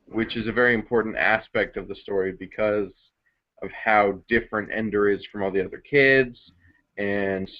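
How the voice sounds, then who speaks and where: A man's voice: fairly low pitch, steady tone, normal speed. A man giving direct, opinionated views as a reviewer in a casual group discussion of films. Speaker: film reviewer. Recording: dull lo-fi sound.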